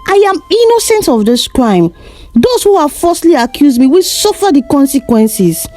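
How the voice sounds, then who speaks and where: Wronged condemned man, played by a female storyteller, declamatory and defiant, high register, animated delivery for a folktale. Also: normal speed. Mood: defiant, solemn. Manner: solemn, declamatory. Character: wronged condemned man, defiant, solemnly cursing his village.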